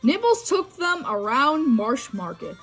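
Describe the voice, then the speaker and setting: A woman's voice: high-pitched, big pitch swings, unhurried, fairly bright tone, soft background music. A woman narrating a children's storybook read-aloud, telling the tale expressively. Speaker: storybook reader.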